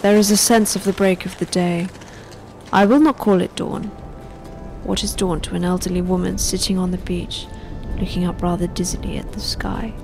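An actress playing a weary yet defiant elderly woman, delivering a measured, poetic monologue in a literary radio drama. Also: on the low side, fairly even intonation, a little mellow, faint music behind, lightly acted. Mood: weary, resolute.

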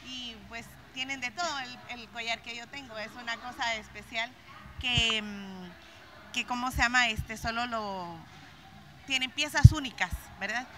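A woman's voice: a little high, a little slow, background noise. A middle-aged woman from Guatemala, a craft vendor, speaks nervously with many fillers and repeats into a handheld mic at an indoor event. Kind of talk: interview.

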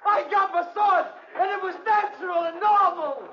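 An actor playing a scheming, guilty man, loud, insistent and defensive, in a 1940s radio drama. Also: very high voice, moderate pace, a little hiss or hum. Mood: frantic, defensive. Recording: muffled 1940s radio recording.

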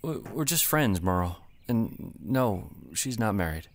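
An awkward, flustered homeowner. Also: male voice, lowish voice, animated, unhurried, slight background noise. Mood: sheepish. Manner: defensive, awkward, hesitant. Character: flustered young man, Frank. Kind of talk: comedy radio play.

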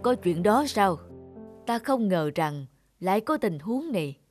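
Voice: mid-range voice, big pitch swings, measured pace, music in the background. An actor playing a stern, imperious old male sun god in a cartoon fairy tale. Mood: grave.

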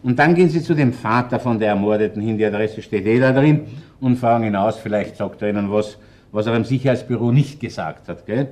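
Actor as a low-voiced gruff Viennese police inspector. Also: male voice, a little fast, a little mellow, lightly acted. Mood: brisk, commanding. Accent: Viennese Austrian. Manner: colloquial, blunt, commanding. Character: gruff, shrewd middle-aged Viennese police chief inspector. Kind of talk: German-language radio crime drama acting.

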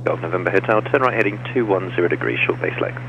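A man's voice: low voice, sing-song, very fast. A man working as an air traffic controller, giving procedural instructions over aviation radio. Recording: muffled, noisy aviation radio.

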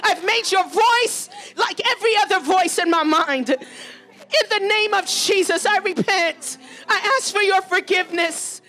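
A woman's voice: very high-pitched, measured pace, fairly bright tone. A woman preacher leading fervent charismatic prayer, urgent and pleading, exhorting with repeated phrases.

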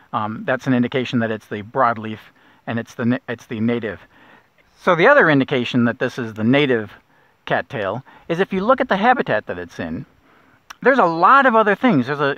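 A middle-aged man guiding a kayak nature trip, explaining plants in a relaxed way with small restarts, recorded outdoors on a lake. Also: medium pitch, no room sound. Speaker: naturalist guide. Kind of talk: outdoor nature walk narration. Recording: dull lo-fi outdoor audio.

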